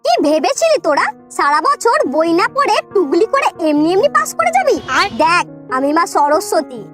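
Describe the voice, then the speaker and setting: A woman's voice: very high voice, big pitch swings, moderate pace, loud music behind the voice. A woman voicing a stern goddess posing as an exam invigilator in a comedy cartoon, scolding and commanding. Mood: angry, vengeful.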